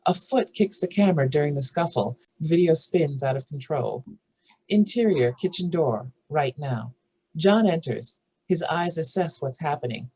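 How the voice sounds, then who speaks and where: A woman with a husky voice narrating screenplay stage directions in a scripted reading. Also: low-pitched, expressive, moderate pace, a little mellow, low-quality recording.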